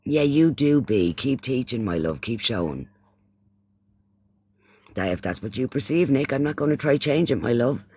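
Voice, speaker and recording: A man's voice: medium pitch, animated, moderate pace, long pauses, darkish tone, slightly rough. A man from Ireland with an Irish accent hosting a casual livestream chat, often repeating phrases and using pet names for those he addresses. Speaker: livestream host.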